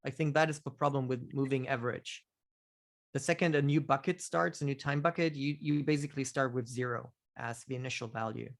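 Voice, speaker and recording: A man hosting a casual technical question-and-answer call, halting, with many fillers and restarts. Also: mid-range voice, fairly even intonation, gravelly. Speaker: host of community office hours.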